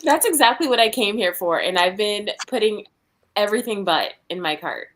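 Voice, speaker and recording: A woman's voice: mid-range voice, animated, normal speed, fairly bright tone, slight background noise. A woman chatting informally as co-host of a casual livestream, in easy back-and-forth banter.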